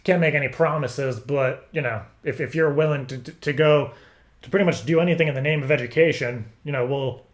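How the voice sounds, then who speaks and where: A man talking casually on a phone call as if interviewing someone, in a rambling, stammering, halting way. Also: a little high. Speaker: prank caller.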